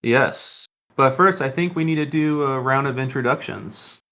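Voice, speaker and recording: Bright-voiced enthusiastic male podcast host. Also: mid-range voice, normal speed. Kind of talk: casual chat.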